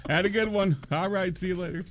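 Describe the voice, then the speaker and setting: A man's voice: high voice, fairly even intonation, fast, rough recording. A man co-hosting a radio show from the studio, chiming in with short, teasing remarks. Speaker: radio co-host. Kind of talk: casual radio banter.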